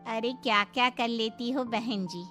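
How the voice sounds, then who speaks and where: A woman's voice: on the high side, a little slow, a bit bright, soft background music. A woman playing a chatty, frank young married neighbour with a gossipy, emphatic manner in a cartoon moral story.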